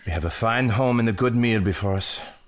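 A man's voice: lowish voice, brisk, lightly acted. An actor playing a proud, controlling husband and father in an audio drama. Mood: stern, defensive.

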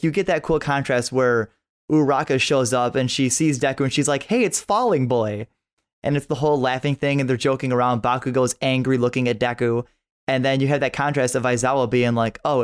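A man's voice: medium pitch, clean tone. A man chatting informally and enthusiastically as a podcast panelist, rambling a little. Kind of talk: casual chat.

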